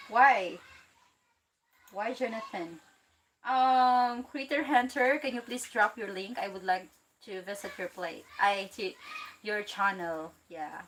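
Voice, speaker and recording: A woman from the Philippines hosting a casual livestream, chatty and excitable, reading out viewer comments and laughing easily. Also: mid-range voice. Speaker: livestream host.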